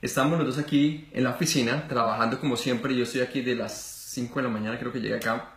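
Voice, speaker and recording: A man's voice: medium pitch, fast talker. A man in his thirties from Colombia, hosting a casual interview in an office and gesturing as he talks. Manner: animated, gestures a lot, many fillers. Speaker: host. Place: small office with wall posters. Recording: roomy office echo.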